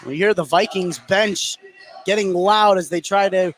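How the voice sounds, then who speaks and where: A man with a slightly high voice calls a basketball game live on radio. He works as the play-by-play announcer, energetic as he follows the action. Some background noise from the game sits behind him. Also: a little slow.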